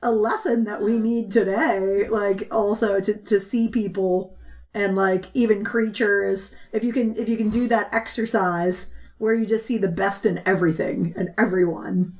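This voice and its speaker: A woman's voice: medium pitch, fairly even intonation, moderate pace, darkish tone. A woman and podcast creator talking earnestly and reflectively in a casual group conversation.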